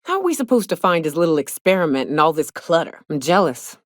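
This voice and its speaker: Female space gunslinger, lowish voice, animated intonation, sardonic, folksy drawl, salty, video game companion lines. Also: fast talker, no room sound, studio quality. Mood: wry, sardonic. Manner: wry, drawling, folksy swearing.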